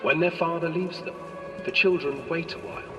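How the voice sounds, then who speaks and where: An actor playing a theatrical storyteller, narrating a dark fairy tale for a video game with deliberate drama. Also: male voice, fairly high pitch, steady tone, highs cut off, lightly acted. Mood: theatrical, ominous. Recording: echoing hall, distant, music behind.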